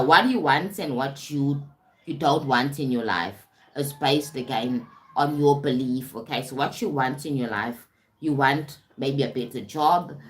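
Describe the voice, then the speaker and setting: A woman hosting a casual self-development livestream, chatty, often stumbling over words and checking in with viewers. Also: very deep voice. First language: English. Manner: warm-toned chatty, calls viewers "sweetie pie", frequent "right?" and restarts. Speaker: livestream host.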